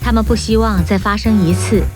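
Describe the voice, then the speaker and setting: A woman's voice: mid-range voice, fairly even intonation, brisk, music in the background. A woman reading scripted children's storybook narration as the narrator.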